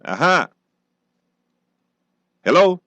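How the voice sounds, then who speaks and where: A man's voice: a little high, sing-song, drawn-out words, pushed and bright, no echo. A man hosting a radio interview in an affable, casual way, often repeating words as he frames questions. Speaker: radio talk show host.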